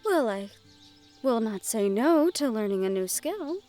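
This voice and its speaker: A woman playing a sheltered young noblewoman in a fantasy audio roleplay, gentle, wistful and quietly willful, with formal phrasing. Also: fairly high pitch, sing-song, measured pace, soft background music. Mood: earnest, teasing. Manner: formal speech with few contractions. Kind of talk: fantasy audio roleplay acting, addressed to the listener.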